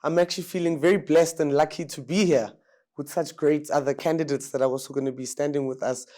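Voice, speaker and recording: A man's voice: a little high, steady tone, fast, mellow. A South African man in his thirties, a mayoral candidate, speaking in a fluent, confident, conversational way. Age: 30s.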